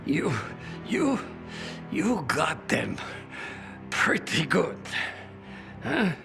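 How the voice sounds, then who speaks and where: An actor playing an excitable older bomb maker in a video game, stammering and theatrical as he fumbles for words. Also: a little high, very slow, gravelly, over music, full character voice. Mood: weak, proud. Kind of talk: dramatic video game acting.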